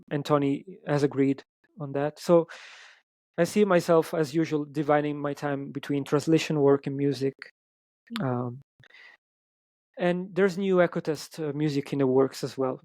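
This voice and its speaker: A man's voice: medium pitch, fairly even intonation, moderate pace, darkish tone, no room sound. A man with an Italian accent, a musician guest chatting in an interview, hesitant with many ums and restarts. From Italy. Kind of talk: casual interview chat.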